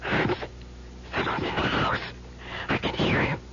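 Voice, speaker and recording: An actress playing a worried, practical wife in an old-time radio drama, earnestly pressing with questions. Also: female voice, measured pace, sharp tone, a little hiss or hum. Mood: frightened. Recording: muffled old radio recording.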